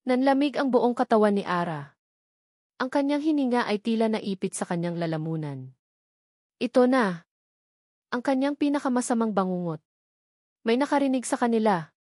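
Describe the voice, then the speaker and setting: A woman reading a scripted romance drama story in a solemn, measured, suspenseful way. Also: a little high, lively intonation, a little mellow, dry recording, studio quality.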